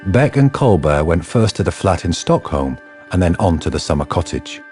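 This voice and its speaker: A low-voiced man narrates a detective story in a measured, scripted manner, with faint music underneath. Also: very animated, darkish tone. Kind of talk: scripted radio drama narration.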